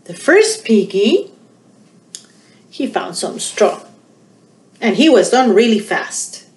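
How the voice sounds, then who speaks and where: A woman in her forties presenting storytime for young children, animated and full of questions to them. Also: mid-range voice, takes it slowly. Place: small indoor room. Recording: roomy home recording.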